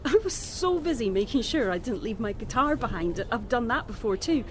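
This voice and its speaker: A woman's voice: on the high side, expressive, a little fast, a little hiss or hum, natural voice. An actress playing a flustered, apologetic, scatterbrained young woman in a naturalistic audio drama. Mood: flustered, grateful. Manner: apologetic, flustered, self-deprecating.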